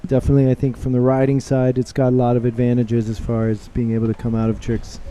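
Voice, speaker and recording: A man doing live sports commentary, chatting and joking with his co-host in a relaxed way. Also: medium pitch, fast talker, slight background noise.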